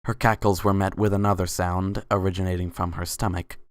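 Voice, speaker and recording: A man's voice: deep voice, level tone, fairly quick, clear, resonant tone, dry recording, clean studio sound. A man reading scripted story narration in a dramatic reading of fan fiction.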